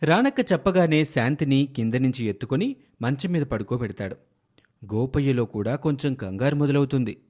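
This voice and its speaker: A man's voice: mid-range voice, lively intonation, normal speed, a little mellow. A man narrating a scripted story as a storyteller.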